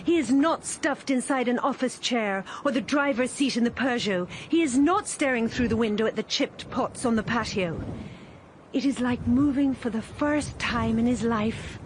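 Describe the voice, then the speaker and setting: A woman narrating a radio drama in a calm, measured storytelling voice. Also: on the high side, noisy background.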